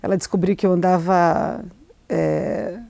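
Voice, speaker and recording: A woman's voice: fairly low pitch, steady tone, unhurried, gravelly, warm tone, no room sound. A middle-aged woman, an author raised in Argentina, answering interview questions in a hesitant, reflective way with many restarts and fillers. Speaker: novelist, interview guest.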